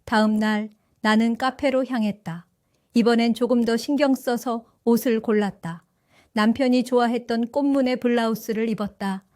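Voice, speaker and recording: A woman in her seventies narrating her own story for an audio drama, in a gentle, reflective and wistful way. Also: fairly high pitch, fairly even intonation, normal speed, no echo, studio quality. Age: 70s. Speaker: first-person narrator.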